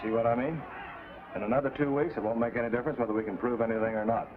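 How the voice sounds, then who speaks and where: An actor playing a grim, worried undercover field agent in a 1950s TV drama, briefing earnestly and urgently. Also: male voice, mid-range voice, fairly even intonation, racing, over a noisy crowd, close to an everyday voice. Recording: tinny, noisy vintage TV soundtrack.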